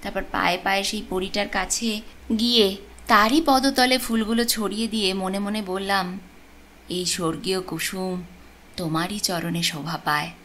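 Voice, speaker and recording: A woman reads a literary story in a measured, expressive storytelling manner. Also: mid-range voice, a little hiss or hum. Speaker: audio story narrator. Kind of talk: scripted literary story narration.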